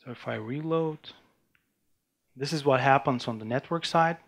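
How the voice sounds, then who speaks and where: A man from Bulgaria, giving a technical conference talk with a live demo and talking in a halting way, with many restarts and fillers. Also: medium pitch, gravelly. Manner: halting, frequent restarts and fillers while demoing. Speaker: conference speaker. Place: conference room before an audience.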